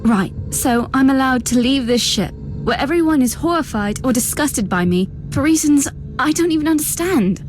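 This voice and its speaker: A woman's voice: high voice, lively intonation, moderate pace, faint music behind. A woman playing a troubled, resentful young psychic captive in a video game, guarded and wounded in manner. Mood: bitter, resentful. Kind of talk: dramatic video game voice acting.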